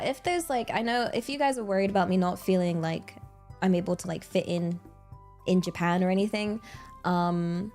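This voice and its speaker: A young British woman streamer from England, chatting casually and thoughtfully with many pauses and fillers. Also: mid-range voice, a little mellow. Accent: British English. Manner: reflective, hesitant, many fillers and restarts. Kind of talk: casual chat with live chat readers.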